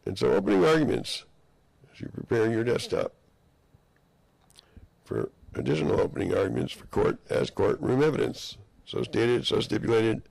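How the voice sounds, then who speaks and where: A man with a hoarse, gravelly voice that sits low and crackles into fry. He rambles in a loose monologue, slipping into mock-legal phrasing, and the recording is dry and close with no room sound. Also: very animated, normal speed, darkish tone, no room sound. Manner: rambling, mock-courtroom phrasing, drifting sentences.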